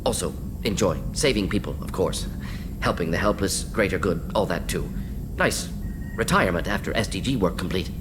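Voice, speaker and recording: Clipped, animated, deep-voiced alien scientist. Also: male voice, background noise. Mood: eager, upbeat. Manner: clipped telegraphic sentences, rapid-fire, analytical. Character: brisk, eager alien scientist, male, ex-covert operative. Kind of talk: video game character dialogue. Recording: game audio over humming ambience.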